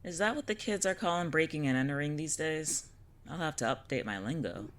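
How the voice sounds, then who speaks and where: A woman playing a gruff, sardonic witch in an audio drama, wry, slangy and easily exasperated. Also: low voice, expressive, fairly quick. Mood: wry, irritated.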